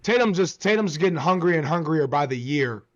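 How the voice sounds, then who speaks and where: A young man argues sports casually as a podcast co-host. His voice is a bit high for a man, and the recording is slightly dull and lo-fi. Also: animated, fairly quick. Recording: dull, lo-fi microphone sound.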